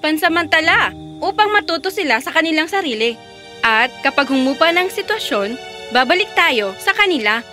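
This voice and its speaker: A woman's voice: high voice, very animated, moderate pace, music in the background. A woman playing a scheming, cold young stepmother in a fairy tale cartoon, coaxing and commanding. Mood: calculating.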